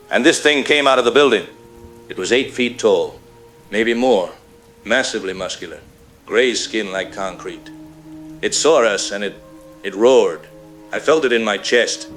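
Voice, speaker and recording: A man's voice: medium pitch, a bit bright, close to an everyday voice. Man acting as a shaken, haunted Marine colonel. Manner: grave, halting, haunted. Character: shaken veteran Marine colonel reporting from the field. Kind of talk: apocalyptic radio drama acting.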